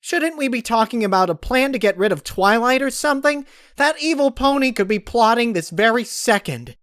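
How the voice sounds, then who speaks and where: A man voicing an agitated, impatient young female pony in a strained, emphatic falsetto for a story reading. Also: animated, normal speed, a bit bright, clear, resonant tone, no echo, studio quality. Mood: frustrated, urgent.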